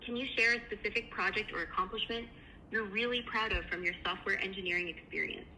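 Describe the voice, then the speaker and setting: A woman with a very bright, forward voice, conducting a screening interview. She speaks quickly in a polite, polished, affirming way. There is faint noise on the phone call. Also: mid-range voice. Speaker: AI recruiter interviewer.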